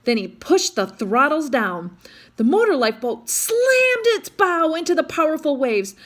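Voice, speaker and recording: A woman's voice: high voice, sing-song, a little slow, fairly bright tone. A woman reading a children's adventure story aloud in a dramatic manner, as if to young listeners. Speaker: storybook reader.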